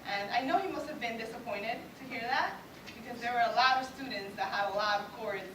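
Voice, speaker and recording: Woman, 20s, Cuban Spanish accent, Spanish native speaker, earnest speech, fairly high voice, distant podium recording. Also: a little fast. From Cuba. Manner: earnest, with light self-deprecating laughs. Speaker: student award speaker. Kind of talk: prepared acceptance speech. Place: large event hall. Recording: echoey hall recorded from far off, noisy.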